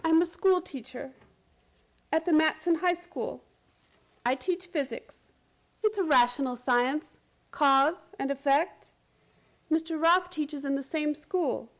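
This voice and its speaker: A very high-pitched female voice in a radio play. An actress plays an earnest, troubled schoolteacher who confides intensely and pleads to be believed. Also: slow, pauses often. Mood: confiding, wistful. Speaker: narrator and lead character of radio play. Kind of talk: radio drama acting.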